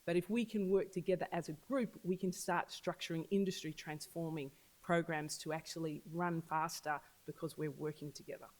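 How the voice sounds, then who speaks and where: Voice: fairly even intonation, normal speed, hardly any pauses, a little mellow, low-quality recording. An agtech business leader giving an earnest launch speech to an audience at an event. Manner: earnest, pitching, repeats for emphasis. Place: event venue.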